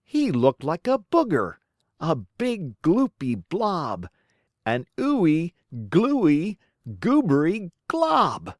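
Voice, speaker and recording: A woman reading a children's rhyming storybook aloud in a theatrical, deliberate, rhythmic way. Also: on the low side, huge pitch swings, darkish tone, no echo, clean studio sound. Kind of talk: expressive children's storybook narration.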